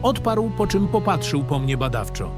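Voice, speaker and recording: A man's voice: a little high, big pitch swings, normal speed. A man narrating a scripted fantasy audio drama in the first person, with a dramatic storytelling delivery. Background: background music.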